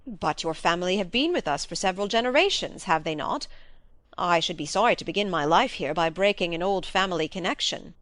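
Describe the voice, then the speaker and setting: A woman voicing a polite, frank young gentleman heir who is a little uneasy. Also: lowish voice, lively intonation, a little fast, dry recording. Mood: concerned.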